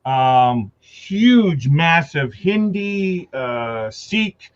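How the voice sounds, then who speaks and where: A man talking earnestly in a casual online chat, sharing his views with halting restarts. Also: on the high side, very animated, dull audio.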